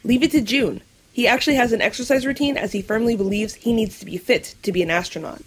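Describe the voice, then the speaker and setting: A woman's voice: a little high, quick pace, husky, low-quality recording. A woman voicing a level-headed talking dog with precise, formal phrasing in an audio drama. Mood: explaining.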